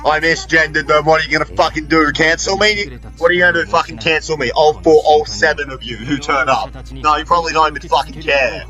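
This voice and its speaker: A man's voice: a little high, fairly quick, sharp tone, soft background music, low-quality recording. A man giving unscripted, rambling gameplay commentary in an irreverent, sweary way. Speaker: video creator.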